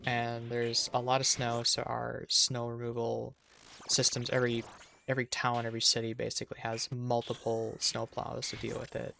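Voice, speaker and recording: A chatty man in his thirties with a Minnesotan accent talks casually with viewers during a game stream, often restarting mid-sentence. His voice has a gravelly fry, and a faint hiss sits under the recording. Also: mid-range voice, completely flat delivery, moderate pace, bright, forceful tone. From Minnesota, USA. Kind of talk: casual chat with viewers while gaming.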